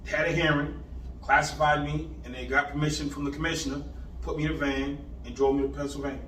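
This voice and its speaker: A man in his fifties from Boston, telling his life story in animated street slang, rattling off places. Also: fairly high pitch, quick pace. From Boston, Massachusetts. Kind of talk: casual storytelling interview. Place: indoor room on location. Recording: echoey room, far mic, faint background hiss.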